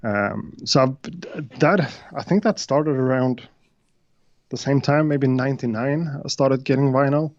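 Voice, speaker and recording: Brief: Swedish-accented man, non-native English speaker, halting guest talk, dull dry call audio. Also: medium pitch, dry recording. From Sweden. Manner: hesitant, many uhs and restarts. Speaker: guest beatmaker. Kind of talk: casual interview chat.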